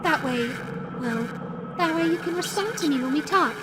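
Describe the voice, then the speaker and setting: A woman's voice: sits high, expressive, normal speed, very noisy. A woman voicing a flirty, menacing female animatronic fox in an audio roleplay, playful, coy and teasing. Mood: playful menace. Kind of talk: audio roleplay addressed to the listener. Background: music.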